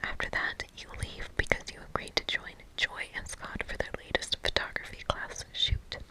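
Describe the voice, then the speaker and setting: A woman's voice: moderate pace, low-quality recording. Whispering woman in a playful ASMR game read-through. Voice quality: breathy, unvoiced whisper. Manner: playful, reading aloud with short asides. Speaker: ASMR streamer playing and reading a video game.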